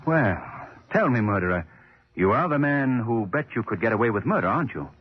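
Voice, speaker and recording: An actor playing a resolute male sleuth in 1940s radio drama, speaking in a commanding, deliberate, articulate way. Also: mid-range voice, expressive, a little hiss or hum, lightly acted. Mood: grave, deliberate. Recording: dull 1940s radio recording.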